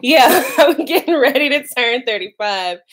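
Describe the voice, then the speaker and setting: A woman's voice: high voice, lively intonation, moderate pace, a bit bright. A woman, chatting casually on a live stream and reading out viewer comments. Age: 30s. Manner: chatty, lots of "like" and "y'all", laughs easily. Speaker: vlogger doing a live stream.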